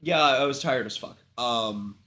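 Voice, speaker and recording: A congested, sick man hosts a livestream, his talk loose and rambling, studded with swearing and ums. His voice sits at an ordinary middle pitch, and a cough breaks through now and then. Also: a little slow, bright, forceful tone. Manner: rambling, frequent swearing and filler words. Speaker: livestream host. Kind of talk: casual chat.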